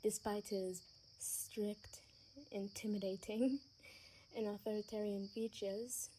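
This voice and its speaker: Woman as a slow, soft young vampire daughter. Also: medium pitch, little pitch movement, a little hiss or hum, natural voice, poor audio. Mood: fond, wistful. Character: young vampire daughter, sheltered, gentle, pleading. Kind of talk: ASMR audio roleplay.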